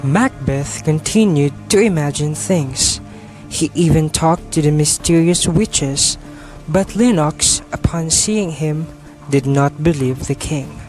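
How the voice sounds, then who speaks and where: A man's voice: a little high, takes it slowly, a little mellow, faint music behind, low-quality recording. A man reading scripted story narration for an amateur radio drama.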